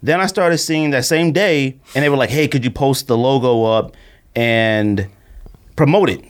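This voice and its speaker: A man's voice: medium pitch, normal speed, clear, resonant tone. A man co-hosting a podcast, telling stories casually in slangy speech and acting out what people said. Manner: slangy, animated storytelling, acting out quotes. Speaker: podcast co-host. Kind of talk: casual podcast chat and storytelling.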